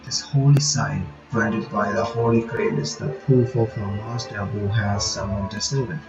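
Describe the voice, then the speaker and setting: A man reading a visual novel aloud in a halting way, stopping for casual asides to his viewers. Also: lowish voice, steady tone. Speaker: reaction channel host. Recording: dull lo-fi audio with music behind.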